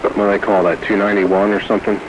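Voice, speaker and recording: A man's voice: lowish voice, level tone, fast. A man chatting casually as a CB radio operator in a laid-back, slangy way. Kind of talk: casual chat over CB radio. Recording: noisy, staticky CB radio transmission.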